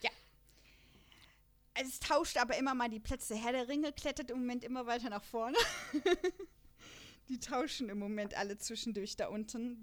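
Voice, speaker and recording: Woman, podcast host, casual chat, chatty, laughs a lot, slightly high voice. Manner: chatty, laughs often while talking. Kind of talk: casual podcast chat about radio dramas.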